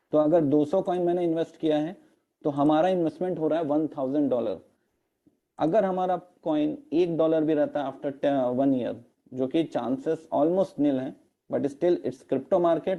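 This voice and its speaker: A man with a hoarse throat presenting in an online meeting, explaining step by step and slipping English terms into his talk, with fillers. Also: a little high, lo-fi sound. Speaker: network marketing presenter. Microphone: video call.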